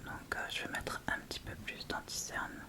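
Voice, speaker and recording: A woman whispering breathily and gently in a casual ASMR tutorial style, explaining step by step without hurry, close to the microphone. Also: normal speed. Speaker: ASMR video creator.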